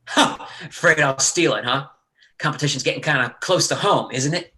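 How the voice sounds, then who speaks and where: Guy acting a needling hustler brother in a play, bright forceful voice, folksy and cocky. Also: fairly high pitch, moderate pace. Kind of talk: dramatic play reading.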